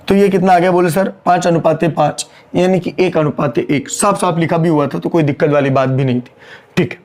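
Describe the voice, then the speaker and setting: A man's voice: a little high, darkish tone. A man teaching an accountancy class online in Hindi mixed with English terms, working sums aloud and scolding his students, his throat sore and strained. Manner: brisk, scolding, repeats key lines.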